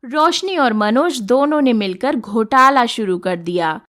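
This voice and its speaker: A woman's voice: on the high side, expressive, a little slow, a bit bright. A woman narrating a scripted moral story as a storyteller.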